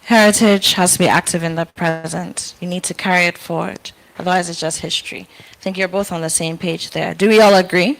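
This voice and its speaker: Woman moderating a live panel, low voice. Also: fairly even intonation, fairly quick, gravelly, low-quality recording. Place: event with live audience.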